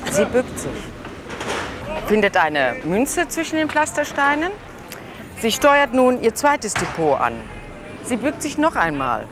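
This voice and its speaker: Lady narrating what she observes in short lines, lively intonation, traffic noise behind her. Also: fairly high pitch, a little slow, long pauses. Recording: clear outdoor street and traffic noise.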